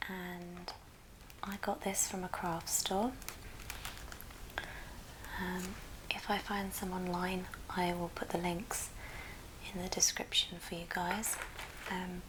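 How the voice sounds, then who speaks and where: A woman whispering softly and breathily as she gently hosts an unhurried ASMR craft tutorial, explaining things step by step. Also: on the low side. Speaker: host of an ASMR craft channel. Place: indoors at a friend's house. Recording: clearly noisy room, clicking sounds.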